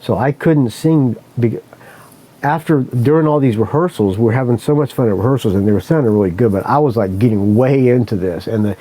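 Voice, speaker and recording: A man's voice: mid-range voice, a little fast, warm tone, poor audio. A man hosting a podcast, telling a story in a casual, rambling way, correcting himself often and swearing freely. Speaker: podcast host.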